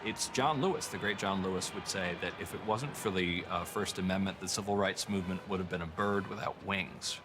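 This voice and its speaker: A man's voice: sits low, brisk, hardly any pauses, background noise. A man speaking emphatically and with passion in a documentary interview. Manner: emphatic, impassioned.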